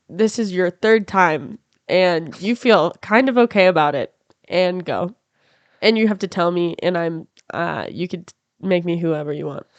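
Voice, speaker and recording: A woman's voice: lowish voice, clear, resonant tone, no room sound, lo-fi sound. A woman guesting on a podcast, improvising comedy scenes with brash, crude jokes. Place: house.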